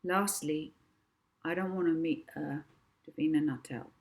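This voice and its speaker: A woman's voice: low voice, steady tone, measured pace, darkish tone. Woman voicing a bossy, cocky young girl. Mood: demanding, imperious. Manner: demanding, imperious.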